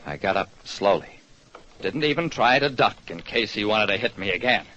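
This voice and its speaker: Wry radio private eye, bright voice. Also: male voice, fairly low pitch, expressive, normal speed. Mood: resigned, rueful. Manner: wry, world-weary. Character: hard-luck, wry male private detective narrating his case. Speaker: narrator and lead detective. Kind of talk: old radio detective scripted narration. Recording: dull, noisy old radio recording.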